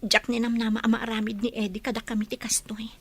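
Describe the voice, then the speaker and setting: A woman's voice: on the high side, level tone, fast talker, fairly bright tone, slightly rough. Subdued, sorrowful wife played by a woman. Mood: sad, resigned. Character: sorrowful wronged wife and mother. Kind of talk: Filipino radio drama acting.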